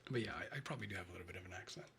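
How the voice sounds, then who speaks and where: A man with a Chicago Midwestern accent, whispering in a soft and chatty way during a live ASMR stream. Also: sits low, a little hiss or hum.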